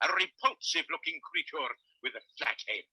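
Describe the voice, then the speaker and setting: An actor playing a pompous, hammy stage thespian with florid, melodramatic declamation and a husky voice in a 1940s radio serial. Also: male voice, on the high side, very animated, brisk, bright, forceful tone, full character voice, low-quality recording. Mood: theatrical alarm. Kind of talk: 1940s radio comic character acting. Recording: old 1940s radio recording.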